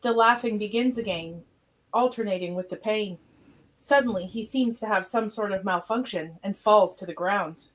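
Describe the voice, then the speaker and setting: A woman's voice: mid-range voice, normal speed, rough recording. A woman reading scripted stage directions as the narrator of a radio theatre piece. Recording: noticeable background noise, clicks.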